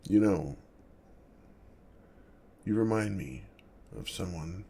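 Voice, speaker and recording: A man voicing an awkward, gentle, protective lonely dragon in a soft ASMR roleplay, with a deep gravelly voice and a hesitant, formal way of talking. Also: lively intonation, exaggerated voice. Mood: wistful. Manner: hesitant, self-conscious, halting, formal wording. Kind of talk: ASMR roleplay, speaking softly to the listener.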